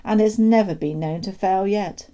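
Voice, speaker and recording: Woman as a proud, sly storybook dad, mellow. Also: mid-range voice, moderate pace, voice pushed up, close to an everyday voice. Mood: sly, pleased. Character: proud, sly father, keen country schemer. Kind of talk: children's storybook read aloud.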